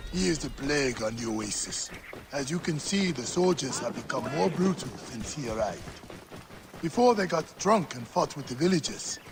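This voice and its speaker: Creaky, animated village leader. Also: male voice, a little high, normal speed. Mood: grave warning. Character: weary loyal village man, old friend of a warrior. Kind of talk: dramatic video game acting. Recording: noisy game scene with ambience.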